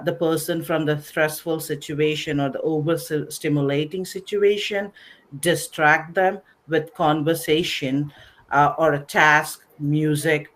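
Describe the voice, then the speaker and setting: A woman presenting a slide-based nursing lecture, explaining in short phrases with pauses in a didactic way. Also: sits low. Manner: didactic, recapping, pausing between short phrases. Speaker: webinar presenter, nursing educator.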